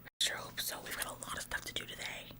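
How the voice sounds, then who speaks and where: A woman acting a whispering, bossy store employee. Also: lightly acted. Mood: stressed, nagging. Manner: halting, repetitive, passive-aggressive nagging. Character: bossy, officious female store employee, smug tattletale. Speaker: ASMR performer. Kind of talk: whispered ASMR roleplay.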